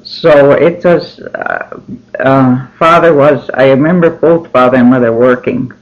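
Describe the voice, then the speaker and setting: An older man whose first language was Finnish speaks slowly and haltingly, often pausing on "uh" and restarting his sentences. His voice sits at an ordinary middle pitch. The recording is dull and lo-fi, like an old tape. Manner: slow, halting, many ums and restarts. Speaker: interviewee. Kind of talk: oral history interview.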